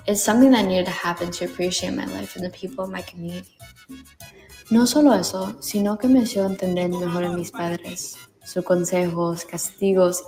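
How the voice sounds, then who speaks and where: Fast reflective young woman narrating. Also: fairly low pitch, dark tone, echoey room, big-room sound. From Baltimore, United States. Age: young adult. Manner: reflective, heartfelt. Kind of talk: personal narration.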